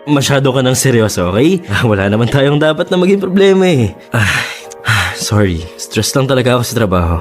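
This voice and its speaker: A man's voice: medium pitch, sing-song, fairly quick, natural voice. An actor playing a conflicted young boyfriend in a Filipino radio drama, evasive, flustered and defensive about what he feels. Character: Benji, conflicted young boyfriend hiding his feelings for a man. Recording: music bed under the voice.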